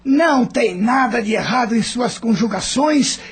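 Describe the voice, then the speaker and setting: A man's voice: very high voice, lively intonation, unhurried, husky, full character voice. A man playing a condescending schoolteacher who praises his pupil in a formal, emphatic way, in a radio drama. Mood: pompous praise.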